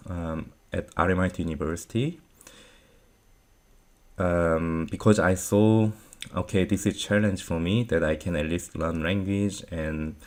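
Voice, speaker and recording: A man's voice: sits low, a little mellow. A Korean-born man whose first language is Korean, giving career advice in an unscripted Q&A, hesitant, with many fillers and non-native grammar. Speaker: vlog host answering viewer questions.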